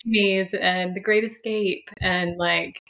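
A woman's voice: medium pitch, steady tone, slow pace. A woman, telling her story thoughtfully as a podcast guest. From Franklin, Tennessee, USA. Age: 30s. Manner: reflective, many restarts and soft laughs. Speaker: podcast guest, sound healer. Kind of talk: casual interview chat.

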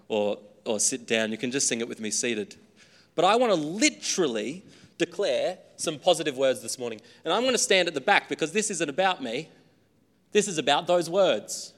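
A man's voice: medium pitch, fairly bright tone, in a large hall. A man, a pastor, talking casually and with self-deprecating humor to his congregation in a church before leading a sung creed. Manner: chatty, self-deprecating, joking about his own singing. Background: acoustic guitar playing.

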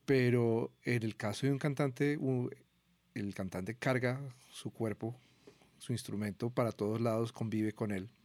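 Male podcast interviewer, Colombian accent, medium pitch, conversational questioning, dry recording. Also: fairly even intonation, measured pace, darkish tone, no room sound.

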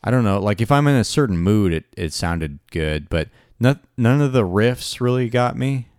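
A man's voice: on the low side, lively intonation, moderate pace, darkish tone, clean tone, dry recording, clean studio sound. A man chatting casually as a podcast co-host, hesitant and full of restarts, laughing easily. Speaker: podcast co-host reviewing an album. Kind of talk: casual chat.

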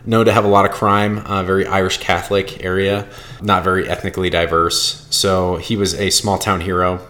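A man's voice: low voice, level tone, normal speed, a bit bright. An American man from Boston chatting casually as a film podcast co-host, blunt, profane and opinionated. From Boston, United States. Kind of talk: casual chat.

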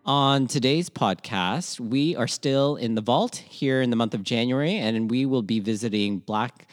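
A man's voice: medium pitch, a little slow. A man born in the Philippines, co-hosting a podcast and asking thoughtful follow-up questions from a remote recording. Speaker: podcast co-host. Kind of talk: conversational podcast interview.

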